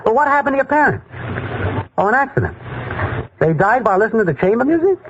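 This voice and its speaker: A slangy high-voiced schemer. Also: male voice, big pitch swings, quick pace. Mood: scheming. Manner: wisecracking, streetwise slang. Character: slangy fast-talking male schemer. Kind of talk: 1940s radio comedy acting. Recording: noisy muffled old radio broadcast.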